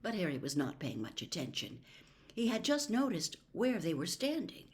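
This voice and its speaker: A woman reading a novel aloud as an expressive storybook narrator. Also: on the low side, normal speed. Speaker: audiobook reader.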